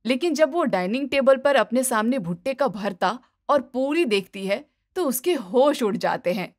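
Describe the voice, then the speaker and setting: A woman's voice: fairly high pitch, lively intonation, moderate pace. A woman storyteller giving scripted narration of a moral story.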